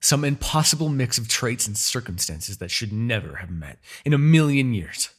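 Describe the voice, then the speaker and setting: A man's voice: mid-range voice, sing-song, normal speed, breathy edge. A man playing a proud, bitter, centuries-old demon king in a fantasy audio roleplay, menacing, theatrical and sardonic. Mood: bitter disbelief.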